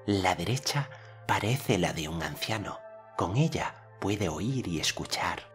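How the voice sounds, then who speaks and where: A man's voice: low-pitched, expressive, measured pace, soft background music, voice pushed up. An adult man voicing a young boy hero in an audiobook, speaking in a solemn, formal old-fashioned way. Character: earnest boy hero, commanding storyteller.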